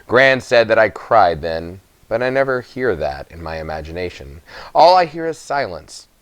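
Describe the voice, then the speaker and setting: Animated, low-voiced man reading a storybook. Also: moderate pace, no echo. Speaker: story reader. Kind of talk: expressive audiobook narration for children.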